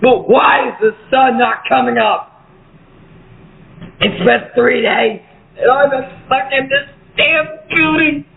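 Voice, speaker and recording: Man acting a high-voiced, echoey, desperate trapped survivor. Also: gravelly, full character voice. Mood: weary despair. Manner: despairing, halting, pleading. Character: desperate trapped apocalypse survivor, grieving husband. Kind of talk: amateur horror audio drama monologue. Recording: echoey, phone-like, faint noise.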